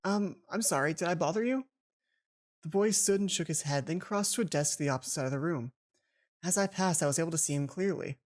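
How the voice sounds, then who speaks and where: Woman, fairly low voice, let's player, expressive story reading, casual ad-libbed commentary. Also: fast. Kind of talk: reading a visual novel aloud with casual commentary.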